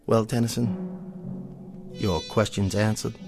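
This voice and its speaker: Deep-voiced, haughty old-school colonel. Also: male voice, fairly even intonation, darkish tone. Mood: coolly formal. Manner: pompous, measured, cutting. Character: haughty, wealthy gentlemen's club colonel, contemptuous and formal. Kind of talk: 1960s television drama acting. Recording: vintage TV soundtrack with music.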